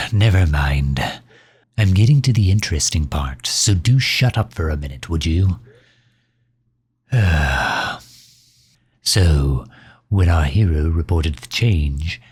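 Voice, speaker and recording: A man's voice: sits low, a little mellow, husky, dry recording, studio quality, full character voice. A man playing a pompous, suave megalomaniac villain in an ASMR audio roleplay, with leisurely, formal wording and impatience toward his servant. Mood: exasperated.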